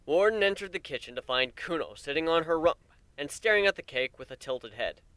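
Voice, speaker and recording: A man's voice: a little high, expressive, fairly quick, bright, forceful tone, no room sound. A man narrating a story as a dramatic reading.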